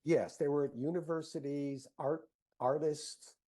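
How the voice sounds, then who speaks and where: A male researcher gives conversational interview answers in a husky, mellow voice. He talks slowly and haltingly, repeating himself as he thinks. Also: a little high, steady tone.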